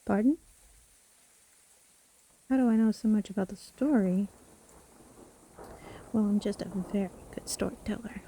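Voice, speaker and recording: A woman playing a sly, teasing witch storyteller in a fantasy audio roleplay, speaking in a soft, breathy, theatrical and condescending way. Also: mid-range voice, measured pace, long pauses, poor audio. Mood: coy, menacing. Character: sly, gloating witch storyteller toying with her trapped victim.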